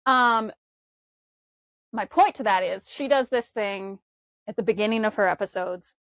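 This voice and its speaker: A woman's voice: mid-range voice, unhurried, a bit bright, no echo. Chatty woman podcast co-host, dry sound. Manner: chatty, rambling, laughs easily. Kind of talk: casual podcast chat. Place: home with a wood stove.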